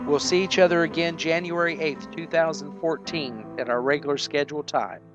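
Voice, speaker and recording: A man hosting a show, giving a quiet, sincere sign-off to his listeners with music behind him. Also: fairly high pitch, fairly quick, muffled recording. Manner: quiet, earnest. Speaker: show host.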